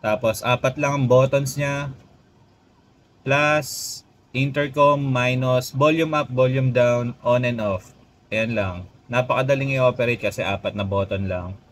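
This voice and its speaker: A man's voice: medium pitch, fairly even intonation, measured pace. Filipino man, casual reviewer, noisy recording. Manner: conversational, addresses viewers, often tags phrases with "no?". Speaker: motovlogger reviewing gear.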